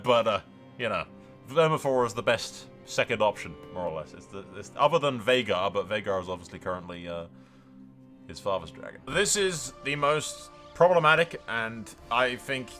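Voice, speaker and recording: A man hosts casual game commentary in a lively, swinging voice with a bright, forceful edge. He is informal and wry, swearing freely as he jokes along. Faint music plays underneath. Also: mid-range voice. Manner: informal, sweary, wryly joking. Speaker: video game streamer, host.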